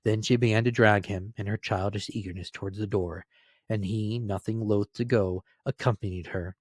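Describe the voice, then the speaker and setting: Low-voiced man reading a classic story. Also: fairly even intonation, moderate pace, a little mellow, slightly rough, no echo. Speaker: narrator. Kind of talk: dramatic storybook narration.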